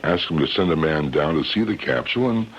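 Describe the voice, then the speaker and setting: A courteous, practical man in a radio drama. His voice sits low and he speaks in a casual, reassuring way. The recording is muffled, with faint noise. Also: expressive, fairly quick. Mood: calm, practical. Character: level-headed, courteous man, peacemaker and host. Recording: noisy, dull old radio recording.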